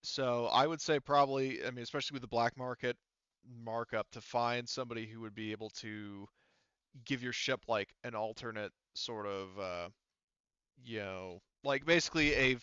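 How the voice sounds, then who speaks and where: A man runs a tabletop game in a smooth, bright voice. He thinks aloud as he makes casual rulings, often pausing and restarting. The sound is dry but a little muffled at the top. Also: medium pitch, steady tone, no echo. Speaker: game master.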